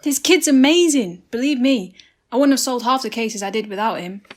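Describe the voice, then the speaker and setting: A woman with an English accent playing a brisk, casual female private detective in a student radio play. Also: a little high, expressive, a little hiss or hum, lightly acted. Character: breezy female private detective, the boy's aunt.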